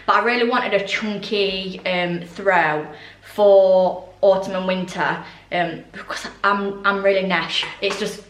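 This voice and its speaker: A young woman with a voice going hoarse, chatting casually through a home haul with lots of ums and asides. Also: mid-range voice, a bit bright, echoey room, distant mic, poor audio. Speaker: vlogger. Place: orangery at home, indoors.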